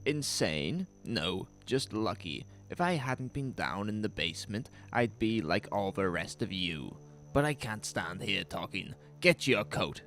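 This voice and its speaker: A man's voice: fairly low pitch, sing-song, unhurried, full character voice. An actor playing a frantic, paranoid father urgently ordering his family around in a horror audio drama. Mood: urgent, determined. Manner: urgent, forceful, insistent.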